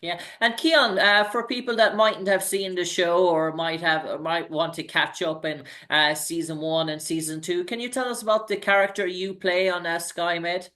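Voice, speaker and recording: A man's voice: high voice, steady tone, normal speed, few pauses, bright, forceful tone. A man hosting an interview, asking long, rambling questions full of hesitations. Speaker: interview host.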